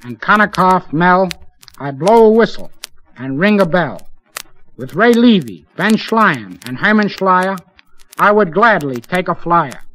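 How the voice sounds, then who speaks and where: An actor playing an effusive radio sponsor, declaiming rhymed holiday greetings in a sing-song manner on an old scratchy disc. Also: male voice, high-pitched, big pitch swings, a little slow, a bit bright, heavily acted. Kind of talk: declaimed comic verse, old-time radio.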